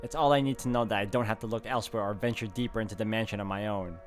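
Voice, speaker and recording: A man's voice: fairly low pitch, steady tone, racing. Male game streamer who reads story text dramatically and adds his own reactions, faint music underneath. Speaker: gaming video host. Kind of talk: dramatic read-aloud narration with reactions.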